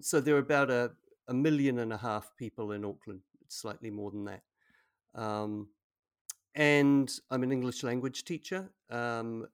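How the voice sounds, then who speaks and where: A man from New Zealand with a New Zealand accent, an English language teacher explaining things in a relaxed way with frequent ums. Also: mid-range voice, no room sound, clean studio sound. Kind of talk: casual conversation.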